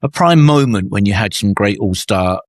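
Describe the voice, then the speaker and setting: A man's voice: on the low side, lively intonation, moderate pace, dry recording, clean studio sound. A man co-hosting a podcast, a cruise director chatting casually and agreeably about old shipboard teams. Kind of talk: casual conversation.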